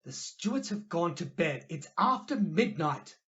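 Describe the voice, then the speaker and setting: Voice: mid-range voice, sing-song, a little slow, a bit bright, muffled recording. A performer in an audio play acting an exasperated, curt young man with a terse, commanding manner. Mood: exasperated, alarmed.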